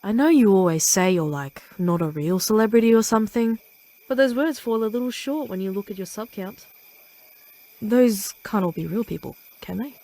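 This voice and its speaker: A woman's voice: mid-range voice, normal speed, mellow, dry recording, close to an everyday voice, low-quality recording. A woman playing a chatty, teasing suburban mom and old school friend in a visual novel, with a gossipy, casual delivery. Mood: playfully envious.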